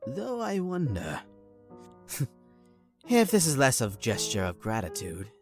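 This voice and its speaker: A man's voice: medium pitch, wildly swooping pitch, takes it slowly, soft background music, exaggerated voice. A man playing a stern, guarded monastery official in a comic dub, speaking earnestly in formal, old-fashioned phrases. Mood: formal, composed. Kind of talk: dramatic voice acting for a comic dub.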